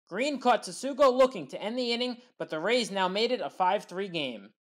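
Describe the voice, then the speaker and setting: A woman delivering scripted sports highlight commentary in recap style, punching up the big plays.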